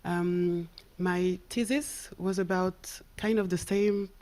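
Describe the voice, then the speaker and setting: Slow-talking woman from cameroon, lowish voice, keeps searching for words as she asks her question. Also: steady tone, warm tone. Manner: hesitant, searching for words, apologetic. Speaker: audience member, architect. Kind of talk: asking a question at a talk.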